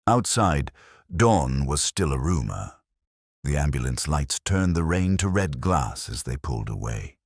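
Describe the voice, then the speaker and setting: Very deep, solemn detective narrator played by a man. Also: very animated, husky, no echo, studio quality, heavily acted. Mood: somber, reflective. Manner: measured, solemn, literary. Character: male police inspector narrating a case, melancholy and reflective. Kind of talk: scripted first-person detective audio drama narration.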